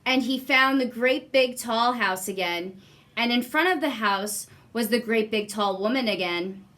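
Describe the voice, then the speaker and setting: A woman narrates a children's storybook in an expressive style. Her voice is bright and slightly high, and she takes her time, placing deliberate emphasis on phrases for young listeners. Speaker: guest story reader.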